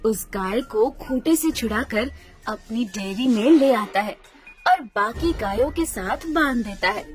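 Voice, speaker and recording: A woman's voice: on the high side, lively intonation, unhurried. A woman reading a scripted children's story as the narrator. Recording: music under the voice.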